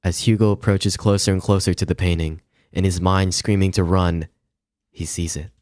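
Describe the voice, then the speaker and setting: A male game streamer with a very low voice on a dry, close microphone. He reads story narration aloud and drops in informal comments. He stumbles on long words and swears casually. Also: steady tone, normal speed, darkish tone, no room sound. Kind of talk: reading visual novel narration aloud with casual asides.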